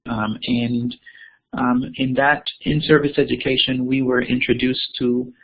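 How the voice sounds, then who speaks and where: Man from Costa Rica, online talk, frequent ums, husky, tinny sound. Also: mid-range voice, little pitch movement, unhurried. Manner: hesitant, many ums and repeated words. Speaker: keynote speaker. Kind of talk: webinar presentation. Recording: tinny phone-like audio.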